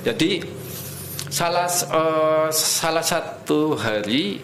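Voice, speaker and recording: A man with a Tegal Javanese accent tells his stories in an easy, relaxed way as a podcast guest. Regional dialect words keep slipping into his talk. The room around him has a noticeable echo, and a faint ambience sits underneath. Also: on the high side, takes it slowly, a little hiss or hum. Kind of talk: casual conversation.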